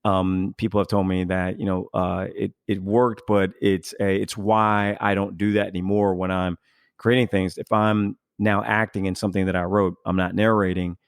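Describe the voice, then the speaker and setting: A man's voice: low voice, level tone, moderate pace, smooth, no room sound, clean studio sound. A man hosting a casual conversational podcast interview in an effusive, emphatic, rambling way. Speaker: podcast host.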